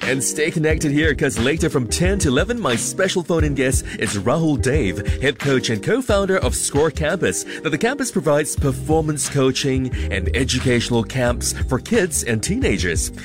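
A man's voice: medium pitch, very animated, normal speed, few pauses. A man hosting a radio show, presenting scripted links and talking to his audience and guests. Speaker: radio host. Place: radio studio. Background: music bed.

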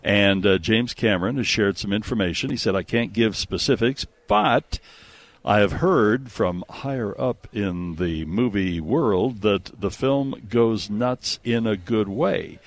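A man with a lowish voice hosts a radio movie show, reading news and bantering casually. He is emphatic and jokey, with very lively intonation. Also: no room sound. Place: radio studio.

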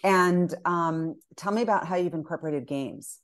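Female interviewer, very low voice, curious and meandering questions. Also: normal speed. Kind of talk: conversational interview.

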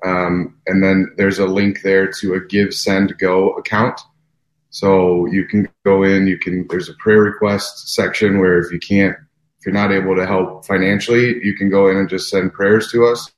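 A very low-voiced man speaks in a steady, flat delivery with little rise and fall. The room around him is noticeably echoey. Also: moderate pace. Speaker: wedding venue co-owner, guest. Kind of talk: interview talk.

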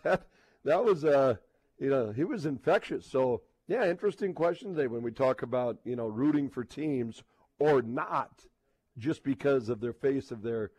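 A man hosting a sports talk radio show in an effusive, halting, unscripted way, repeating phrases for emphasis. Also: mid-range voice, expressive, a little mellow, husky.

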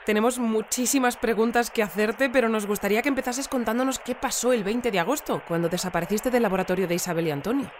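An actress playing a polite, composed female news presenter, speaking earnestly and in measured phrases in an audio fiction bulletin. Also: on the high side, slight background noise, close to an everyday voice. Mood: polite, welcoming.

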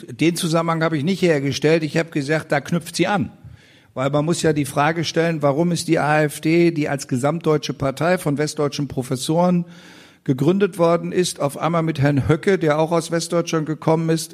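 This is an older man in his sixties speaking as a guest before a live audience. His voice sits a little high for a man, and he talks with passion and force, with bursts of indignation. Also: steady tone, moderate pace. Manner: passionate and emphatic, with indignant outbursts. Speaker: politician guest on a panel. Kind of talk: animated stage conversation. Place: public event in front of an audience.

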